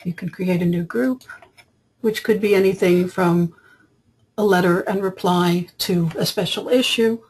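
A woman software trainer narrating a screen tutorial in an explanatory, step-by-step way, with a husky voice. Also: lowish voice, steady tone, a little slow, a little hiss or hum, rough recording. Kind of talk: screen-capture tutorial narration, semi-improvised. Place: voice-over for screen recording.

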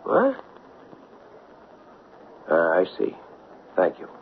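Hard-nosed police sergeant, radio play; urgent and blunt, muffled noisy broadcast sound. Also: male voice, low-pitched, wildly swooping pitch, very slow, exaggerated voice. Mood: urgent, exasperated. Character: hard-nosed, impulsive, harried male police detective sergeant. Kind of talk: radio drama acting.

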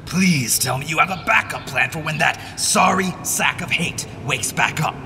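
A man's voice: fairly high pitch, moderate pace, creaky, bright, forceful tone, soft background music, full character voice. An actor playing an anxious, skeptical man in a fantasy audio drama, questioning and agitated in manner. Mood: alarmed, exasperated. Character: anxious, skeptical male companion monitoring dream connections.

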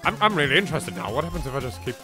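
An English man hosts a let's play with dry, wry humor, his pitch swinging widely between lines. Game music plays clearly in the background. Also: sing-song, racing.

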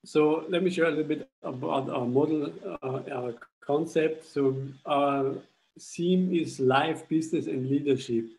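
A young man from Austria walks listeners through a slide presentation on a video call, his voice mellow and warm. He talks haltingly, often restarting phrases as he searches for the words. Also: fairly high pitch, steady tone, low-quality recording. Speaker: charity founder presenting a school project. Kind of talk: webinar presentation. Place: indoors at home. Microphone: laptop webcam video call.

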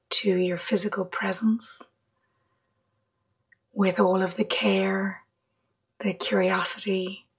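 A woman leading a guided meditation in a soft, breathy voice, gently, with long pauses between short phrases. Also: mid-range voice. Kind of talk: guided meditation, slow and measured.

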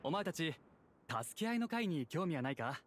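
Direct, inviting game recruiter: slightly high voice, lively intonation. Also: male voice, moderate pace, slight background noise. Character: brisk male recruiter for an inmates' aid group. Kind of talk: anime game voice acting.

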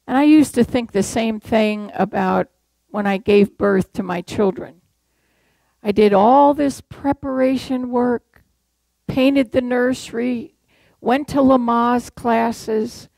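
A woman's voice: on the high side, takes it slowly, vocal fry, dark tone, no echo, low-quality recording. An older woman from Massachusetts preaching a sermon from a church pulpit, telling stories and asking the congregation rhetorical questions. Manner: conversational storytelling with rhetorical questions.

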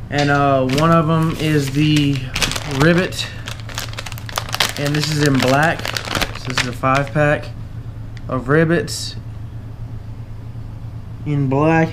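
A young man with a Southern US drawl talks through his tackle in a relaxed, halting way, full of uh and um. His voice sits a little high for a man. A faint hiss runs under the camera-mic recording made indoors. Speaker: fishing channel host. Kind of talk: casual tackle giveaway walkthrough. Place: indoors at a table, camera overhead.

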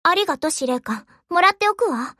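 A woman's voice: extremely high pitch, expressive, fairly quick, pushed and bright, dry recording, clean studio sound, full character voice. A woman voicing an aloof, offhand teenage warship girl in an anime game. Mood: aloof, bashful. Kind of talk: Japanese anime game voice acting.

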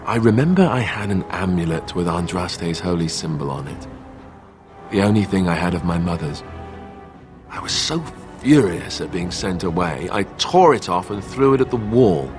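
Wry, wounded young fantasy warrior for a video game; low pitch, hesitant confessions over music. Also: male voice, animated, normal speed. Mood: bitter, wistful. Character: young warrior prince in exile, self-deprecating, wounded, wry.

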